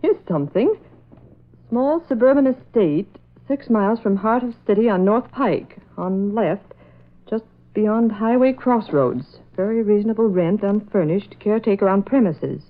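An actress playing a practical, businesslike newspaper secretary, chatty and brisk, in 1940s radio style. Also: female voice, medium pitch, expressive, lightly acted. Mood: reading aloud. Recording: dull lo-fi old radio recording.

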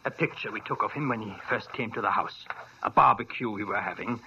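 A worried, hesitant old doctor. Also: male voice, on the low side, brisk, gravelly, bright, forceful tone, a little hiss or hum, exaggerated voice. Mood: earnest worried. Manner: earnest and hesitant, full of uh's. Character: worried, earnest male family doctor, older. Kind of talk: 1940s radio acting. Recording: dull old radio recording.

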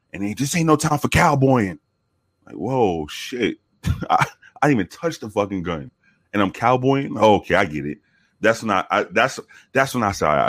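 A man from the Bronx with a very deep voice, telling profane, animated stories with many restarts in a casual interview. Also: no echo. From the Bronx, New York. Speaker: guest, former police officer.

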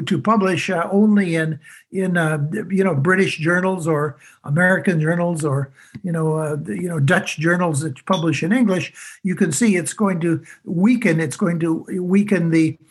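A man talks in a panel discussion, halting and searching for words with frequent fillers and restarts. His voice sits fairly high and sounds breathy and husky. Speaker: panel guest.

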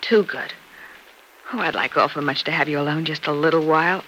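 A woman's voice: low-pitched, a little fast, fairly bright tone, low-quality recording. An actress playing a brash, slangy working woman with an American accent, blunt and teasing, in a classic radio drama. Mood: yearning, pointed. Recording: old radio recording, faint noise.

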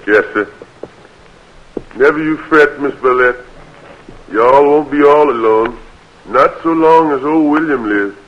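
An actor playing a loyal old male servant, deferential and soft-spoken, in a 1940s radio drama. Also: mid-range voice, slow pace, long pauses, lightly acted. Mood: reassuring. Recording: muffled old radio recording.

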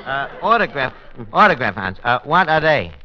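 A man's voice: medium pitch, sing-song, normal speed, close to an everyday voice. An actor playing an unrefined, wisecracking man who talks colloquially and hesitantly in 1940s radio comedy. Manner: hesitant, colloquial, malapropism-prone. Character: Archie, wisecracking, unrefined tavern man who mangles words. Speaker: comic host of a radio show. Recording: noisy, muffled vintage radio recording.

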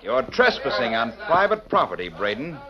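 An actor playing a cool, smug frontier lawyer with a dry, assured manner in a western radio drama. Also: male voice, mid-range voice, huge pitch swings, normal speed. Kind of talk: old-time western radio drama acting. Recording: muffled radio recording with crowd.

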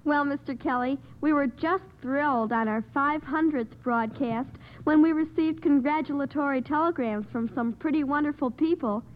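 High-voiced young American woman on vintage radio. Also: normal speed, few pauses, narrow, tinny audio. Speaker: quiz show panelist. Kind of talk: reading a prepared report on radio. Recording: thin vintage 1950 radio recording.

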